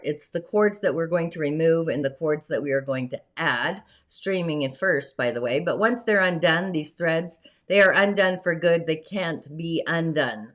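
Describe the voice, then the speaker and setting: A woman with a raspy voice today hosting a spiritual livestream in a dreamy, meandering way, trailing off softly at the ends of phrases. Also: low-pitched. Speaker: host.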